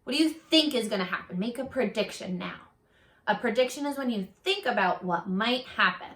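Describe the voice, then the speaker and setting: Expressive bright-voiced woman reading to children. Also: a little high, brisk, echoey room. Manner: expressive, addresses children directly. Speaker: teacher reading aloud to children. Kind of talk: children's read-aloud with prompting questions.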